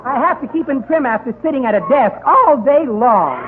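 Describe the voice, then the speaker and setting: An actor playing a mild, fussy desk-bound professor with prim, polite diction in a 1930s radio comedy. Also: male voice, very high-pitched, expressive, moderate pace, narrow, tinny audio. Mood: mild, confiding. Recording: noisy thin 1930s radio recording.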